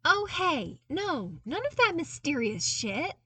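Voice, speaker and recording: A woman's voice: sits high, sing-song, takes it slowly, sharp tone, dry recording, far from the mic, voice pushed up, exaggerated voice. A woman voicing a playful, earnest young man, a talkative runner in an audio story. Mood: playful whining.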